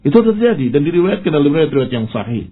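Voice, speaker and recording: Male Islamic preacher, raspy voice, instructive and emphatic lecture delivery, muffled narrow-band sound. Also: on the high side, big pitch swings, fast talker. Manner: didactic, emphatic, with Arabic phrases and rhetorical questions. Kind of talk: religious lecture. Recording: dull, muffled lo-fi lecture recording.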